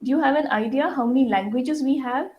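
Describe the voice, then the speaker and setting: A woman's voice: sits high, fairly quick, lo-fi sound. Earnest Indian-accented woman addressing students. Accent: Indian English. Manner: earnest, many fillers, asks the audience questions. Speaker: school head addressing students. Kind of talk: school assembly speech.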